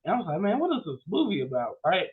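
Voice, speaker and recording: A man with a notably high voice chats casually as a podcast co-host. He rants and tells stories in slangy, exclamatory bursts. The recording is a bit dull and lo-fi. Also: huge pitch swings, fast talker. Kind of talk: casual chat, storytelling and ranting.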